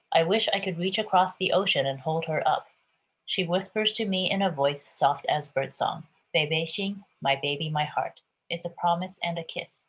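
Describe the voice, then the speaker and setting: A woman from Taiwan, a picture book author, reading her book aloud gently and then chatting about it. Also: low voice, highs cut off. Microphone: video call.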